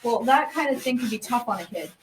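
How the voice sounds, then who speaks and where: A woman's voice: on the high side, expressive, brisk, close to an everyday voice. Young girl as a folksy grandpa storyteller, distant mic. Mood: patient, folksy. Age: young teenager. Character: folksy old grandfather telling a tale to grandchildren. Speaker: student narrator in a school radio drama. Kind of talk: scripted storytelling. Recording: distant mic, clear background noise.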